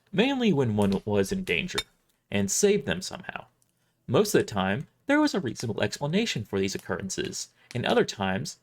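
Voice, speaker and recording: A man's voice: medium pitch, creaky. A man hosting a casual livestream, chatting and narrating a science fiction story he wrote. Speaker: streamer and author reading own book.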